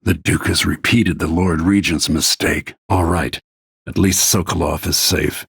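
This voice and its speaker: A middle-aged assassin from a video game, grim and bent on revenge. The voice sits deep, with heavy gravel and a very raspy husk, recorded dry. Lines come out terse and brooding, always under control. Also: male voice, fairly even intonation, measured pace, a bit bright, no room sound, full character voice. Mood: grim, determined. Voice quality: gravelly, husky. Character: grim, vengeful middle-aged male assassin bodyguard and protective father.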